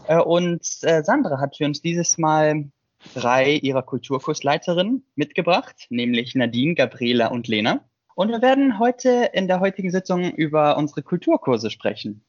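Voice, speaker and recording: A man's voice: fairly high pitch, no echo. A man hosting a casual student podcast, introducing guests and asking questions, on a slightly muffled remote recording. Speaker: podcast host.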